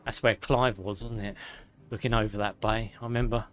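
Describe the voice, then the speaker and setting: A man streaming a game, reading lore aloud with sarcastic asides, his voice going hoarse and a little out of breath. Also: on the low side, fairly even intonation, brisk. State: slightly out of breath. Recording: faint music behind.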